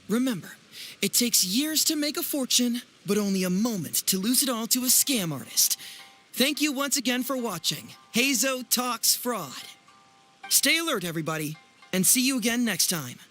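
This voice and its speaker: An actor playing a clever, teasing young male detective and smooth presenter in a video game, speaking in a wry, theatrical way. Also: sits high, animated, normal speed, bright, forceful tone, faint music behind. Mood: dramatic presenting. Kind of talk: animated video game voice acting.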